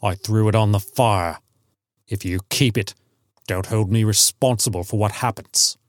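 A man voicing a middle-aged sergeant major in a story, grave and haunted, speaking in a quiet, deliberate, warning way. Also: lowish voice, dry recording, clean studio sound, natural voice. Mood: stern warning.

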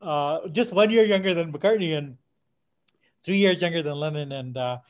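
Higher-voiced man in digressive podcast talk. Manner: halting, digressive, with frequent self-interruptions and tangents. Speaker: podcast co-host. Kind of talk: casual podcast discussion of music history.

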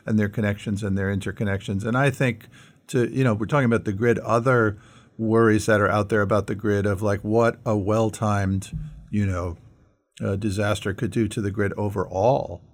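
A man's voice: lowish voice, fairly even intonation, normal speed. A middle-aged American man co-hosting a conversational podcast, speaking earnestly and posing rhetorical questions. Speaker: podcast co-host. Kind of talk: conversational discussion.

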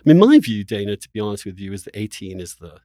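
A man hosting a spirited interview debate, halting and insistent, often repeating words mid-sentence. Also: on the low side, sing-song, dark tone, dry recording, clean studio sound. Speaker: interview host.

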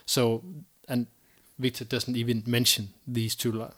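A man co-hosting a casual podcast discussion, halting and repeating words as he thinks. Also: medium pitch, little pitch movement, a bit bright. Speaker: podcast co-host.

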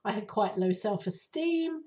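A woman hosting her own podcast, her voice raspy and hoarse from flu, talking casually with many ums and uhs. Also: mid-range voice, lively intonation, unhurried, mellow, recorded from a distance. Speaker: podcast host. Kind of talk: casual solo podcast talk.

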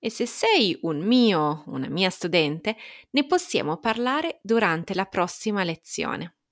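An Italian woman reads a personal newsletter aloud as a teacher would, addressing the listener directly in a deliberate, expressive way. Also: mid-range voice. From Italy.

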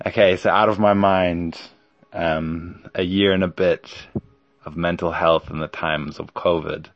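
A man's voice: low-pitched, fairly even intonation, a little slow, no room sound, dull audio. A man in his forties hosting a solo podcast, talking in a hesitant, rambling way with lots of ums and yeahs. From Germany. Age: 40s. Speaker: podcast host.

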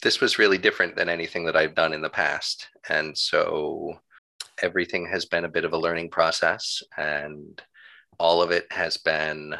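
A good-humored man in his thirties talks casually as an interview guest. His voice is low and creaky, with a bright, forward tone that keeps it clear-cut. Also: fairly even intonation, normal speed. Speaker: interview guest, town clerk.

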